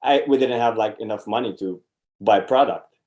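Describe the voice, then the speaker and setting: A man's voice: lowish voice, moderate pace, darkish tone. A man from Romania with a Romanian accent, answering casually as an interview guest and repeating phrases emphatically. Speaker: interview guest, online seller.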